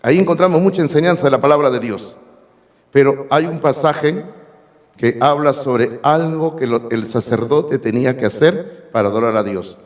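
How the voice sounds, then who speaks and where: A hoarse man preaching a sermon as pastor, emphatic and speaking directly to his congregation in a church sanctuary. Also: medium pitch, moderate pace. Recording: long reverb of a church hall.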